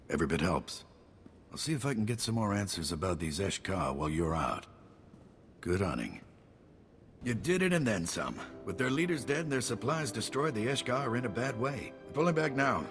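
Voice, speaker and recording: An actor playing a gruff, battle-weary general with a clipped, wry military delivery in a video game cutscene. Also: male voice, lowish voice, a bit bright. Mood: dry, urgent, then relieved. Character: gruff, weary, wry male army general under siege.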